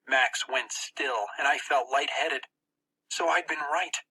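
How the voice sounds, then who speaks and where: An audiobook narrator reads a first-person story with dramatic tension. The voice is very bright and forceful, slightly husky, and expressive in its phrasing. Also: mid-range voice, slow pace, rough recording.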